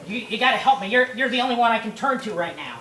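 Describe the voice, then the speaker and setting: A woman's voice: on the high side, expressive, fast, fairly bright tone, reverberant, recorded from a distance, slight background noise, rough recording. A woman voicing a desperate young man who stammers and begs for help. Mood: pleading. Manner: stammering, repeats words.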